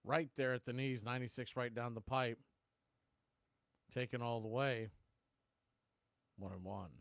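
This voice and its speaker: A man calling a baseball game live in radio play-by-play style, in short clipped phrases between pitches. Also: fairly even intonation, a little slow, dry recording, clean studio sound. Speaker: play-by-play baseball announcer and stream host.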